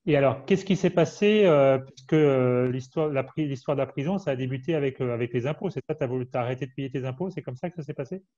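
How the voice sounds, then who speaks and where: A man's voice: mid-range voice, quick pace, hardly any pauses, dark tone, lo-fi sound. A man hosting an interview, summarizing the guest's story and asking questions to check he understood. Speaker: interviewer, host.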